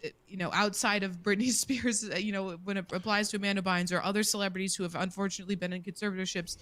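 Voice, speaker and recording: A woman's voice: medium pitch, steady tone, fast talker, a bit bright. A woman hosting a casual streamed panel chat, talking with passion and plenty of fillers as she makes her points. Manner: impassioned, rambling with fillers. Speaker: panel host.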